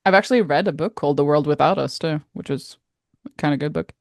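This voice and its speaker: A woman's voice: low voice, quick pace, dry recording. Wry woman, dry close recording. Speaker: podcast co-host. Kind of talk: casual chat.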